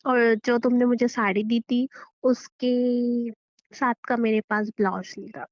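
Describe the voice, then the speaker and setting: A woman's voice: on the high side, fairly even intonation, measured pace, no room sound, dull audio, close to an everyday voice. A woman acting as a defiant, demanding young wife in a conversational audio drama, arguing in a stubborn, taunting way. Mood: defensive, taunting. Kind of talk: acted Hindi relationship audio drama, conversational.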